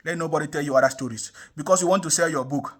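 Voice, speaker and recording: A man's voice: fairly high pitch, rapid-fire. A young Nigerian man from the South South giving impassioned political commentary, emphatic and repetitive, with frequent rhetorical questions. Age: young adult. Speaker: online commentator.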